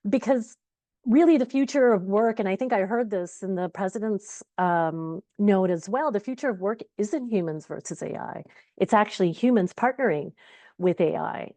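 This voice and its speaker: A middle-aged woman talks over a video call with a lively, chatty energy, often stopping on fillers. Her pitch sits in the middle range, and the sound is dry and close. She is giving a keynote talk at a virtual conference. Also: dry recording. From Uganda, raised in Canada. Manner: energetic, conversational, many fillers.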